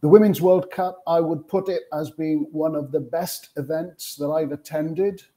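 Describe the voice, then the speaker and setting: A Welsh man in his fifties speaks as a guest in an interview, telling things in a conversational way. His voice is soft-edged and mellow, pitched slightly above the middle for a man. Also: steady tone, normal speed. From Wales. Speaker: interview guest, television sports producer. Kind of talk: conversational career recollection in a panel interview.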